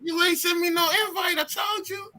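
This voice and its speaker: A man's voice: very high voice, fairly even intonation, normal speed, bright, forceful tone. A man in his thirties talking casually on a live stream, emphatic and preachy, ending lines with "man". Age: 30s. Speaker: guest.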